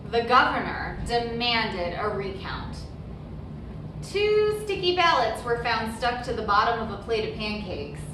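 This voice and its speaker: A woman reading a children's picture book aloud as a storyteller, with deliberate, emphatic phrasing and brief asides. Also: on the high side, big pitch swings. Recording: very echoey room, distant mic, noisy background.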